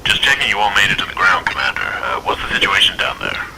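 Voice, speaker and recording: A man playing a brisk, businesslike starship captain checking in over comms in a tabletop roleplay. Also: low voice, sing-song, very noisy.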